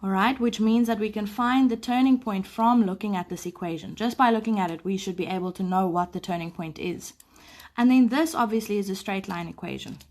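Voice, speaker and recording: A woman who is sick teaches a live maths lesson, explaining patiently and clearing her throat often. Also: medium pitch, fairly quick, darkish tone. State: sick, voice a bit off. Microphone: phone camera over paper.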